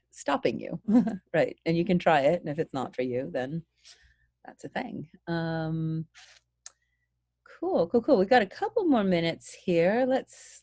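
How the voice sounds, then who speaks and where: A woman's voice: on the low side, warm tone, no room sound, clean studio sound. A woman hosting a live video Q&A, chatty and encouraging as she reads out questions. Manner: chatty, encouraging, laughs between thoughts. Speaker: host.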